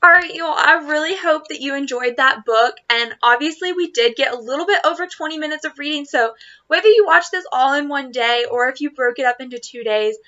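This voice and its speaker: A woman teacher reading a children's storybook aloud to students, expressively and deliberately. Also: high-pitched, bright, forceful tone, muffled recording. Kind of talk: children's storybook read-aloud in Spanish and English.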